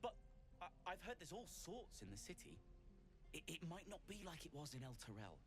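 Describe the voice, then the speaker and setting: A hopeful, uneasy refugee from a fantasy game. He stammers in a lightish, expressive voice with faint music underneath. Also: fairly high pitch, brisk. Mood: nervous hope. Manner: hesitant, stammering. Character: anxious, hopeful male refugee in a fantasy world. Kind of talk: video game dialogue.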